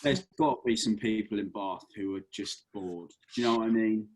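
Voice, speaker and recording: Low-voiced young man chatting casually. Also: brisk, darkish tone, poor audio. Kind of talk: casual meeting chat.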